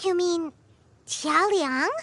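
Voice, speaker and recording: A woman voicing a tiny, childlike fairy companion in a video game, excitable, naive and full of exclamations. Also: extremely high pitch, drawn-out words, creaky, noisy background, exaggerated voice. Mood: confused, flustered. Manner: exclamatory, refers to herself by name. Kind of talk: animated game voice acting.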